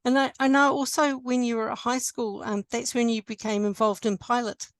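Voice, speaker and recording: A woman's voice: fairly high pitch, level tone, brisk, no echo, studio quality. A woman interviewing a former student, speaking hesitantly with many ums and restarts. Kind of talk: conversational interview.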